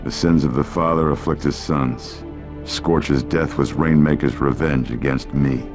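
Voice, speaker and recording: A very deep, commanding older military officer. He is stern and terse, every line carrying authority. It is video game cutscene acting, with music clearly playing behind. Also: steady tone, a little slow. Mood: grave, resolute.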